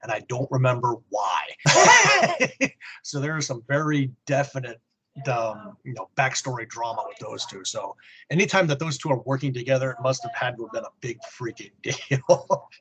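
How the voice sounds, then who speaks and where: A middle-aged American man co-hosting a podcast, chatting casually in a halting, wry way. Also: mid-range voice, very animated, fairly bright tone, low-quality recording. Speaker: podcast co-host. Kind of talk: casual chat about old comics.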